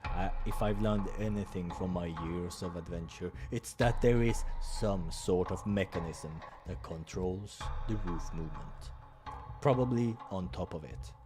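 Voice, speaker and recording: A man's voice: low voice, a little slow, pitched below the performer's own voice. A man voicing a big, strong doctor and adventurer in a visual novel, firm, commanding and reassuring, over music. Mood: urgent, calm. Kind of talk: visual novel character acting. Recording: music behind the voice.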